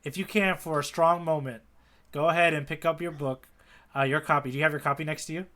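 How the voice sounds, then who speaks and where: A man's voice: on the high side, fairly even intonation, quick pace, bright, forceful tone. A man co-hosting a podcast in casual conversation, inquisitive, often checking in and prompting with questions. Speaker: podcast co-host. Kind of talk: casual chat, manga readalong discussion.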